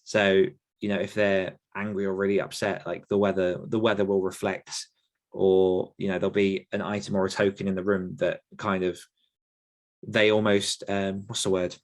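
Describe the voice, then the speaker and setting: A man answering questions on a podcast in a casual, rambling way, often pausing to search for words. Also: low-pitched, flat delivery. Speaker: podcast host and horror author. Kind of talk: casual conversational Q&A.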